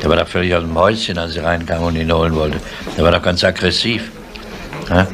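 An actor playing a grumpy, sceptical police inspector in a 1970s radio crime drama, insistent and dry. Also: male voice, deep voice, little pitch movement, fast talker, background noise.

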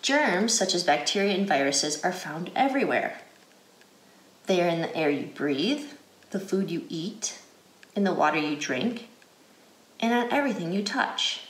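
An American woman reads aloud for children in a guided, teacherly style. Her pitch moves up and down in a lively way while she keeps a slow, deliberate pace. The recording has a roomy indoor echo. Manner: deliberate, clearly phrased, asks questions. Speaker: educator reading a picture book aloud.

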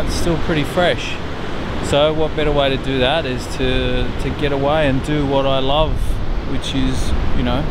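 A man's voice: on the high side, very noisy. An Australian man in his thirties chatting casually and informally to a camera held at arm's length outdoors, as a travel vlogger. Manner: informal, conversational. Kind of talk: casual vlog chat to camera. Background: loud music.

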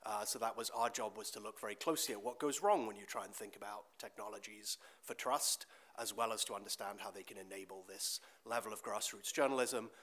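A middle-aged man speaks earnestly and articulately on a conference panel, his voice sitting a little low. He talks into a table microphone in a conference hall, building long thoughtful sentences. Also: steady tone, a little fast, fairly bright tone. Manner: articulate, earnest, speeding up at times.

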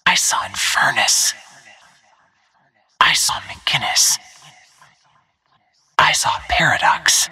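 A woman playing a stealthy, cool-headed assassin in a video game, giving terse, controlled callouts in a hushed, husky whisper. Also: extremely low pitch, expressive, takes it slowly, long pauses, exaggerated voice.

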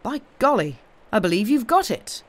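A man's voice: high-pitched, sing-song, normal speed, a bit bright, pitched above the performer's own voice, exaggerated voice. A man reading an audiobook, voicing a blunt, opinionated and rather sulky male doctor with an emphatic way of talking. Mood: convinced. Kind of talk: audiobook character dialogue.

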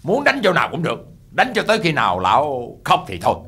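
An actor voicing a mysterious, playful old man in a cartoon, who teases, chuckles and gives orders in a husky voice. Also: on the high side, wildly swooping pitch, fast, fairly bright tone, exaggerated voice. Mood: commanding. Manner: teasing, chuckling, commanding.

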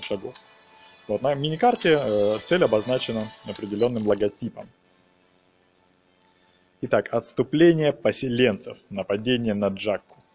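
A man's voice: medium pitch, darkish tone. A man giving casual, chatty game commentary as a let's-play host, explaining hesitantly as he plays. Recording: game music behind the voice. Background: video game music.

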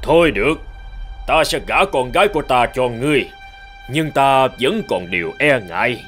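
A man's voice: mid-range voice, expressive, a bit bright, faint music behind, natural voice. A man voicing a shrewd, protective old woodcutter father in a cartoon fable, cautious and wily. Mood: cautious, cunning. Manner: cautious, wily, measured bargaining.

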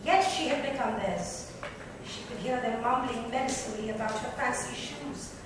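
Woman reading a story out loud dramatically, high voice, super echoey with noise. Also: normal speed. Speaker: reader. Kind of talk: dramatic book reading, scripted narration. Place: club lounge with seated panel, indoors. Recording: echoey room, distant mic, clear noise.

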